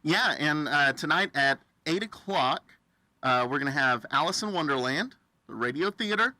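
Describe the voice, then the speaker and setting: A man hosting a casual radio interview, with halting, hesitant phrasing. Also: on the high side, expressive, pushed and bright, no echo. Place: radio studio, face to face with guest.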